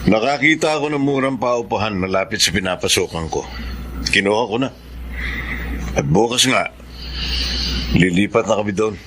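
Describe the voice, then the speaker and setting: An actor playing an earnest, conciliatory grown son in a radio drama, speaking sincerely. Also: male voice, lowish voice, normal speed, long pauses, noisy background, natural voice. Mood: earnest, apologetic.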